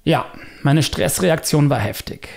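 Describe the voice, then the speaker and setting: A man's voice: a little high, animated, measured pace, creaky, a little mellow. A man narrating a self-experiment video as its creator, in scripted documentary style with casual, personal remarks.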